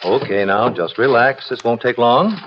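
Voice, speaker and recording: A man playing a brisk, businesslike parole officer in a clipped, official manner, in the style of 1950s radio crime drama. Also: medium pitch, animated, noisy background, close to an everyday voice. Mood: firm, businesslike. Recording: dull old radio broadcast recording.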